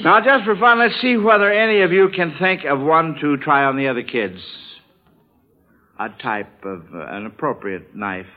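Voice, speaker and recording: A man's voice: a little high, lively intonation, normal speed. A man hosting a 1940s-style radio quiz for children in a folksy, chuckling manner, often repeating answers back. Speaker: quiz show host. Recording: dull, band-limited old radio recording.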